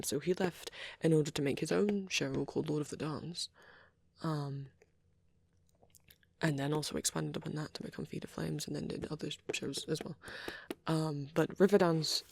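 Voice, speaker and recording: A performer voicing a shy, bashful, nerdy young male vampire boyfriend in a soft, hesitant, rambling ASMR roleplay. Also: medium pitch, gravelly, no echo. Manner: hesitant, stammering, apologetic, excitable when rambling.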